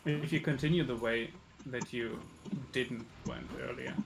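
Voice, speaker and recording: A man chatting casually as co-host of a game stream, teasing and dryly ironic, with halting phrasing. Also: medium pitch, background noise. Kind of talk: casual gameplay chat.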